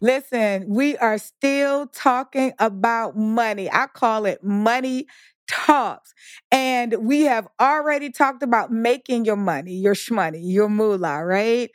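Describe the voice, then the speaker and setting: A woman's voice: on the high side, slow pace, no room sound, studio quality. A middle-aged woman hosting a motivational podcast, talking emphatically and asking her listeners rhetorical questions. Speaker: podcast host.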